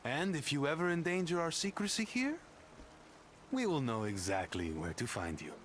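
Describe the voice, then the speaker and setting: A woman's voice: low-pitched, sing-song, moderate pace, slight background noise. Wildly swooping, imperious guarded witch. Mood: stern warning. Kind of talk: video game voice acting.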